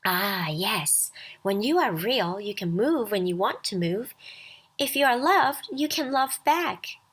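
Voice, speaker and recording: A woman voicing a gentle, wistful, wondering old toy rabbit in a children's storybook reading. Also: medium pitch, animated, slow, husky, exaggerated voice.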